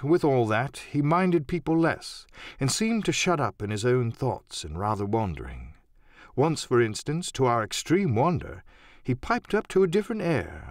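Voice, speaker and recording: A man narrating an audiobook as a dramatic storyteller, theatrical and expressive in his delivery. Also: mid-range voice, no echo, studio quality.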